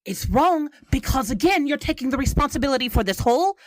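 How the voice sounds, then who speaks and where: A woman's voice: high voice, lively intonation, fast, no room sound, clean studio sound. A woman playing a firm, protective friend in an improvised tabletop adventure, scolding and reassuring emphatically. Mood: insistent, protective. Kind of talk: in-character improvised tabletop roleplay.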